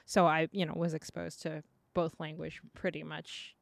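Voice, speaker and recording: A woman's voice: deep voice, flat delivery, moderate pace, creaky, dry recording. A woman in her thirties from France, a native speaker of Cantonese and French, casually recalling personal memories as an interview guest. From France, outside Paris. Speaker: artist. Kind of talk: casual personal recollection in conversation.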